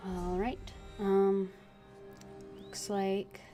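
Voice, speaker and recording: A woman giving casual, unscripted commentary as she streams a video game, hesitant and thinking aloud with long pauses. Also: mid-range voice, level tone, dark tone, soft background music.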